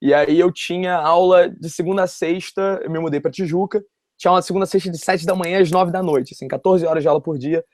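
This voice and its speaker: A man's voice: high-pitched, fairly even intonation, fast talker, a little mellow, dry recording. A man in his early twenties with a Rio de Janeiro accent, telling his story casually and in a rambling, informal way. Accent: Rio de Janeiro Brazilian Portuguese. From West Zone of Rio de Janeiro, Brazil. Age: early 20s. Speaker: interview guest, university student. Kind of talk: casual personal storytelling in an interview.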